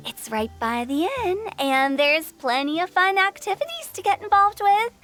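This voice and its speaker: A mischievous teenage girl from an animated game. Her voice is extremely high, and she talks in a teasing, whimsical way, like an amateur poet at play. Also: moderate pace. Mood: playful teasing.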